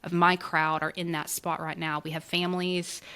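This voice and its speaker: A woman's voice: fairly low pitch, flat delivery, normal speed, a bit bright, clean tone. A woman hosting a casual interview over a video call, speaking in an effusive, emphatic, slangy way. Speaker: podcast host interviewing a guest.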